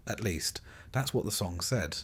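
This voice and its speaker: A man with a somewhat low voice narrates in the manner of a long bedtime tale. His phrasing is measured and deliberate, set out in careful pieces. The delivery is scripted and literary, with a wry, understated edge. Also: animated, slightly rough. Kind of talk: scripted sleep-story narration of Greek myth.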